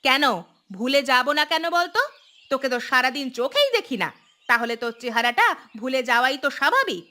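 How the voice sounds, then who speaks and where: A woman's voice: sits high, very animated, fairly quick, bright, forceful tone, full character voice. A woman voicing an exasperated village mother in a cartoon, scolding and complaining about her mischievous children. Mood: reproachful. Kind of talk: Bengali cartoon voice acting.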